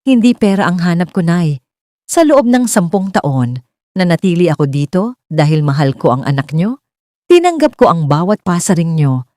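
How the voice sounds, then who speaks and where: A woman's voice: lowish voice, a little mellow, no room sound, studio quality. Woman acting a pleading, resolute young widow. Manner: respectful, emotional, earnest. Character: long-suffering widowed daughter-in-law, pleading yet resolute.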